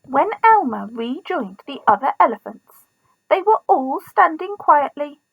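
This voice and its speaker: A woman teacher reading a children's story expressively, in a deliberate manner with pauses. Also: high voice, sing-song, gravelly, no room sound, phone-quality sound. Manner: deliberate, pausing, asks listeners questions.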